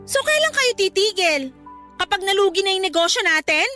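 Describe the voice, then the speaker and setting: Upset reproachful daughter in a radio drama, really high tense voice, light music under it. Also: female voice, animated, fairly quick, pushed and bright, full character voice. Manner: pleading, reproachful, emotional. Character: exasperated, worried grown child pleading with parent.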